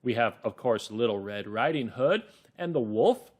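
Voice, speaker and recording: A man hosting a children's reading lesson as a teacher, explaining and narrating encouragingly, with drawn-out emphasis. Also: medium pitch, animated. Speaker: teacher and host.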